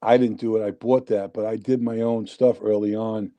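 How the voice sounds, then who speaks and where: A man's voice: on the low side, moderate pace. A man in his sixties with a New York accent, telling rambling stories as a guest in a casual talk. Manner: rambling, animated storyteller. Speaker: interview guest. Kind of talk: casual storytelling.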